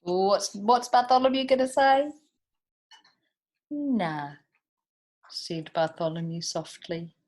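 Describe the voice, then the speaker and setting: A woman's voice: medium pitch, animated, measured pace, a little mellow. A woman hosting a children's storytime, reading aloud animatedly and chatting to young listeners with questions and asides. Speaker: children's storytime reader and host.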